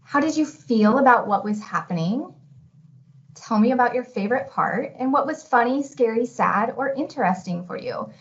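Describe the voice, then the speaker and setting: A woman with a slightly high voice leads an online lesson as an educator. She guides her listeners in an instructional, questioning way. The sound is dull and lo-fi, as from a computer mic on a video call. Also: expressive, normal speed. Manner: guiding, asks open-ended questions. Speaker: webinar presenter, educator. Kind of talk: instructional webinar presentation. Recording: dull lo-fi webinar audio.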